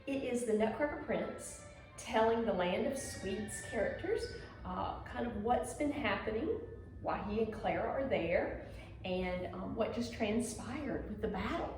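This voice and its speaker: A woman's voice: medium pitch, measured pace, darkish tone, faint music behind. Woman teaching dance in a very echoey room. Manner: encouraging, simple step-by-step explaining with short prompts. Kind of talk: instructional video lesson, demonstrating to pupils. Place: indoor room at home.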